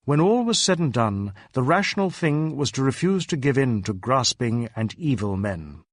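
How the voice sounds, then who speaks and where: A man's voice: medium pitch, big pitch swings, moderate pace, no echo, studio quality. A man narrating a crime novel as a scripted dramatic reading. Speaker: audiobook narrator.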